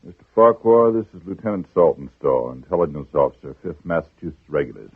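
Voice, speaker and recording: Deep, husky, stern army captain. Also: male voice, lively intonation, fast talker, no echo, exaggerated voice. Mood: smug, cold. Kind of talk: 1940s-50s radio drama acting. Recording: muffled narrow-band old radio recording.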